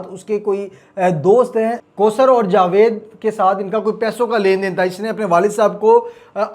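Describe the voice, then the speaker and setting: A man's voice: high-pitched, a little mellow. A man with a Pakistani accent hosting a crime news report, speaking dramatically and emphatically, repeating key phrases for suspense. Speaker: host of a crime news channel.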